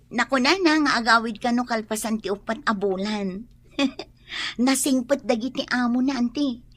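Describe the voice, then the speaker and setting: A woman with an Ilocano accent playing a chatty younger woman gushing about her friend in a radio drama, animated, gossipy and husky. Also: on the high side, normal speed, lightly acted. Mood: excited, admiring.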